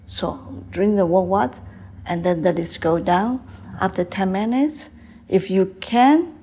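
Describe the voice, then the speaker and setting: Older Taiwanese woman in halting English. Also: medium pitch, warm tone, slight background noise. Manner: hesitant, halting English with many fillers. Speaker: guest, tea house owner. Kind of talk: interview answers.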